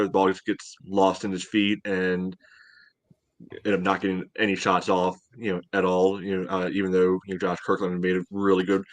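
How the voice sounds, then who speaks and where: A man with a low voice chats through match analysis as a podcast panelist. His sentences wander and are padded with frequent verbal tags, in a casual fan-talk style. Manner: meandering, frequent "you know".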